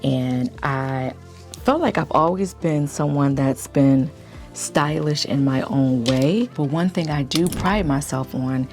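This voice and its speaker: A woman vlogger chatting casually in a deep morning voice, keeping it low and quiet. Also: slow pace, faint music behind. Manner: speaking low to stay quiet. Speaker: lifestyle YouTube vlogger. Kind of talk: casual chit-chat vlog.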